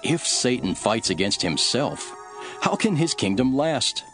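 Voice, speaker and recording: An actor playing a calm, authoritative male teacher telling parables in an earnest, deliberate scripture drama. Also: medium pitch, over music. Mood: firm, authoritative. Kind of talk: dramatised scripture reading, measured storytelling.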